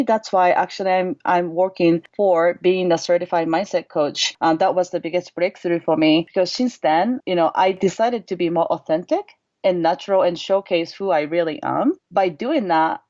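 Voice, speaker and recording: A woman's voice: fairly low pitch, normal speed, darkish tone, dry recording. A woman in her thirties with a Japanese accent, answering interview questions in an earnest, reflective way. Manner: earnest, reflective, plain phrasing. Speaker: guest, mindset coach. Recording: slightly dull, dry audio.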